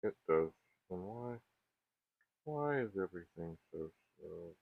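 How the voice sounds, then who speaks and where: A man with a very low, husky voice thinks aloud in casual, hands-on tech commentary. He speaks haltingly and often questions himself. The recording is dry and muffled, with a thin phone-like band. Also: expressive, no room sound. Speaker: host of a vintage computer repair channel.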